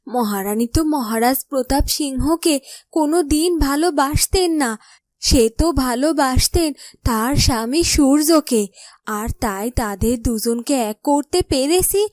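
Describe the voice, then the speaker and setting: A woman's voice: high voice, unhurried, a little mellow, no room sound, studio quality. A woman voicing a loyal, caring royal attendant in a fairytale cartoon, earnest and emphatic as she explains. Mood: consoling. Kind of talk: Bengali fairytale cartoon dialogue.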